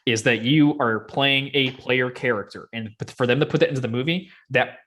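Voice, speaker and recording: A man's voice: medium pitch, fairly quick, a bit bright. Man chatting casually, slangy and enthusiastic. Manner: slangy, enthusiastic fan talk. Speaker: podcast co-host. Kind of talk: casual chat about video game movies.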